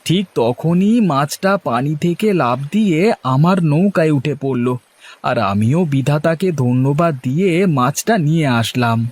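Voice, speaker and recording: A man's voice: a little high, moderate pace, a little mellow, natural voice. A man voicing a cheerful, humble village husband in a cartoon, with a jovial, exclaiming manner. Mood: amused. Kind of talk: cartoon dialogue.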